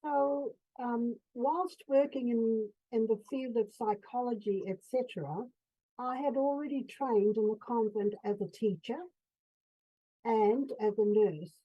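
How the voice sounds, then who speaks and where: Slow-speaking older woman from Fiji. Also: on the high side, lo-fi sound. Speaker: author and psychotherapist. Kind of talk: radio interview.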